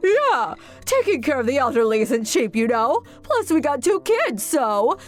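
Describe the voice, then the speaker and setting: A woman's voice: high voice, very animated, unhurried, faint music behind, voice pushed up. A woman voicing a brazen, whiny middle-aged sister-in-law in a manga dub, defensive, snippy and demanding. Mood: smug. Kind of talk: exaggerated manga dub acting.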